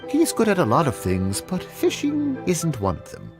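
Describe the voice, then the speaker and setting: An actor playing a genial, chatty older fishmonger in a video game, musing and expressive in an unhurried way. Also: male voice, a little high, music in the background. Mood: frank, helpful.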